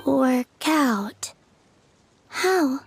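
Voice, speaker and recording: Game role: soft-spoken gentle maiden, high light voice, earnest, unhurried delivery. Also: female voice, expressive, creaky, background noise, exaggerated voice. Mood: wistful, uncertain. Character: gentle, dreamy, soft-spoken young woman, quietly sincere. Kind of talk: video game voice acting.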